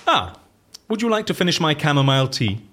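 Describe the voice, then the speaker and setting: A man's voice: on the high side, sing-song, fairly quick, a bit bright. A man acting an eccentric, petulant office schemer in a comic audio drama, with a theatrical, whimsical and pedantic delivery. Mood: genial.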